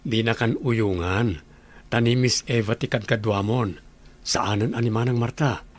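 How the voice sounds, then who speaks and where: A man playing a calm, kindly father and boss in his thirties in a radio drama. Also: lowish voice, unhurried. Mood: gentle, fatherly.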